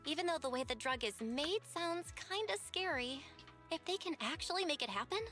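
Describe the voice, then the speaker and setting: A woman's voice: high-pitched, lively intonation, a little fast, fairly bright tone, soft background music. A woman voicing an earnest, decisive young female companion in a video game. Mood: cautiously hopeful.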